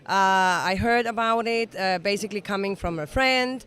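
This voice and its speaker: A woman's voice: medium pitch, moderate pace, fairly bright tone. A woman in her sixties from Finland, a native Finnish speaker, giving an earnest, enthusiastic interview at an event. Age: 60s. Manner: enthusiastic, earnest, slightly non-native phrasing. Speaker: interview guest. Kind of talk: conversational interview. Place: event summit venue.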